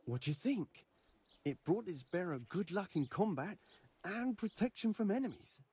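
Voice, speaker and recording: A man's voice: sits high, big pitch swings, moderate pace, mellow, slightly rough, exaggerated voice. An actor playing an eager, devout, grasping medieval craftsman in a video game, talking emphatically and persuasively. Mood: indignant, insistent. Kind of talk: video game dialogue acting.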